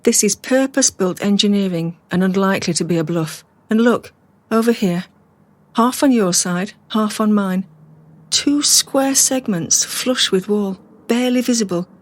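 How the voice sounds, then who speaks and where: An actress playing a calm, analytical captive woman in a radio drama, measured, rational and dry. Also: medium pitch, lightly acted. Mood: grave, analytical. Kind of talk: naturalistic radio drama acting.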